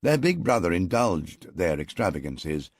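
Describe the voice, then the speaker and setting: A man narrating an audiobook in the first person, in a measured, wry storytelling manner. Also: deep voice, sing-song, no room sound, studio quality.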